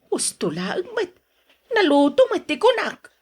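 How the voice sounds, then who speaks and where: An actress playing a put-upon wife who answers back, in an Ilocano radio drama, with an Ilocano accent and a husky voice. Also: female voice, high-pitched, huge pitch swings, a little slow, heavily acted. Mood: exasperated.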